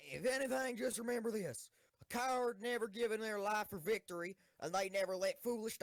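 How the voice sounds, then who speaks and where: A woman's voice: mid-range voice, no room sound, close to an everyday voice. A woman playing a bombastic schoolgirl tank commander, giving a blunt, swaggering military speech in a comic audio drama. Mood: rousing.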